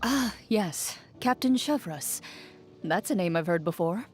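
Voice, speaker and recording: A woman playing a composed, dutiful young female champion duelist in a video game, speaking in a formal, measured, reserved way. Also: lowish voice, animated. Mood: composed, admiring. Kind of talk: video game character voice lines, reflective monologue. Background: music under the voice.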